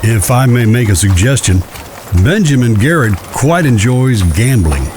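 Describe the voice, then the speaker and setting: An actor in an audio drama playing a discreet Old West judge, speaking in a formal, careful, courteous way. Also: male voice, medium pitch, very animated, measured pace, noisy background. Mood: confidential, measured.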